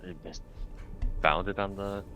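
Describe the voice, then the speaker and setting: A man's voice: deep voice, fairly even intonation, measured pace, music in the background. A man playing a tabletop game, talking in a casual, laid-back, matter-of-fact way. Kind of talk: casual in-character game talk. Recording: dull lo-fi mic, faint noise.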